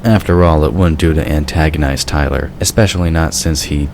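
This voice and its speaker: A man's voice: very deep voice, fairly even intonation, brisk, gravelly, darkish tone, slight background noise. A man reading scripted story narration as the narrator of an audio drama.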